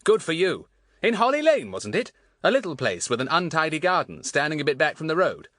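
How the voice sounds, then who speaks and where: Man acting a boastful, lively clever schoolboy. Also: on the high side, brisk, dry recording, clean studio sound, pitched above the performer's own voice. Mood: approving. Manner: self-satisfied, chatty relish.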